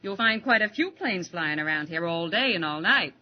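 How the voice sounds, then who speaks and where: An actress playing a brisk, mocking young woman reporter in a 1940s radio serial. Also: on the low side, lively intonation, natural voice. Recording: dull lo-fi old radio broadcast.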